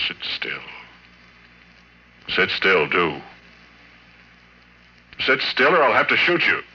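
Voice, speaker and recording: An actor playing a haunted, lovesick oilfield man confiding a horror tale in halting, dramatic 1940s radio style. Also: extremely low pitch, sharp tone, a little hiss or hum, heavily acted. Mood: menacing. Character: haunted, lovesick old oilfield man hiding a monstrous wife. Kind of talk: 1940s radio acting, first-person horror monologue. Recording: muffled vintage 1940s radio recording.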